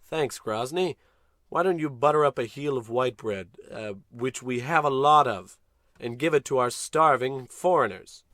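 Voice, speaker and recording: An actor playing a pompous, dim-witted, bossy American spaceship captain in a comedic old-style radio play. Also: male voice, mid-range voice, unhurried, fairly bright tone, no echo, lightly acted. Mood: smug, commanding. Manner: pompous, bossy, self-important.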